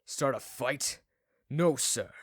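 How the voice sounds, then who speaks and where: A man's voice: mid-range voice, slow pace, no room sound, clean studio sound. An actor playing a confrontational young male servant in an audio drama. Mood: challenging. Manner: challenging, confrontational.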